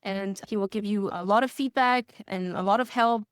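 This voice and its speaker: A woman's voice: medium pitch, normal speed, clean tone, dry recording, clean studio sound. A woman answering interview questions conversationally, with an enthusiastic manner and frequent chuckles as she explains things. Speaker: music course student giving a testimonial.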